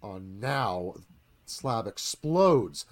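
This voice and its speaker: A man narrates a screenplay for radio theatre. His voice is on the low side, with animated rises and falls and a dramatic delivery. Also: drawn-out words.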